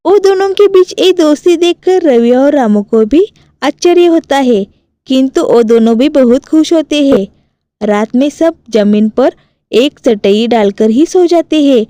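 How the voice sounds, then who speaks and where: A woman's voice: sits high, mellow, dry recording. A woman reading scripted narration for a cartoon family story.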